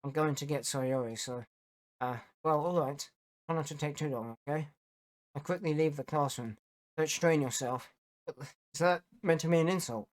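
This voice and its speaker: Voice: medium pitch, moderate pace. A gamer doing let's play commentary and reading game text aloud, in a casual way with plenty of swearing. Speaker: gaming YouTuber. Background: video game music.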